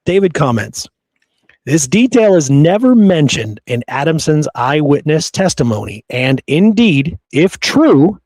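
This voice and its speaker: A man's voice: medium pitch, a little mellow, dry recording, poor audio. A man hosting a podcast, talking through history in an informal, conversational way. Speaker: podcast host. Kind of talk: casual history discussion.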